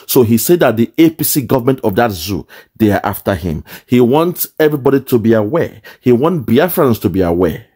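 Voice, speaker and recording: A man's voice: mid-range voice, sing-song, fairly quick, warm tone, breathy edge. A man commenting on a video clip, indignantly explaining and repeating his points with rhetorical questions.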